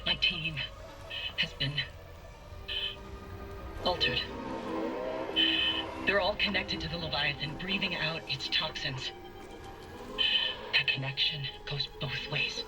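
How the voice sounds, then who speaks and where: A weary but determined scientist in a video game drama. She explains things with grim urgency. Music plays clearly behind her voice. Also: sits low, takes it slowly, long pauses, rough recording. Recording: music under the voice.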